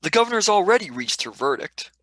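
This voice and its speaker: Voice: mid-range voice, sing-song, quick pace, a bit bright, dry recording. An actor playing a down-to-earth young male companion in a scripted radio play, blunt and colloquial. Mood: matter-of-fact.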